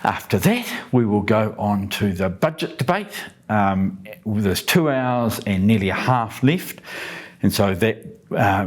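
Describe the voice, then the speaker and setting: A man's voice: lowish voice, slightly rough. A man, casually walking through the day's parliamentary business with jokey asides. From New Zealand. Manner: relaxed, chatty, jokey asides. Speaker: parliamentary speaker hosting a daily update.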